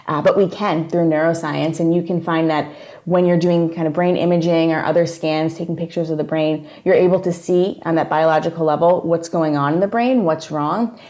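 A woman with a New York accent, a guest psychologist explaining her field in a relaxed interview. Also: low-pitched. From New York area, USA. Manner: explanatory, steady, plain-spoken. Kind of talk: casual interview conversation.

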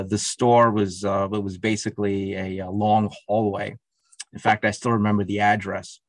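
A man's voice: fairly low pitch, creaky, dry recording. A middle-aged man, a guest in a conversational interview, talking hesitantly with many fillers and restarts. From Russia. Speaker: podcast guest.

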